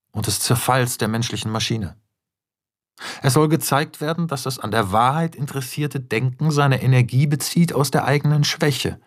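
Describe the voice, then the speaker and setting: Male narrator for literary radio, mid-pitched voice, measured scripted delivery. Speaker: narrator reading screenplay and essay.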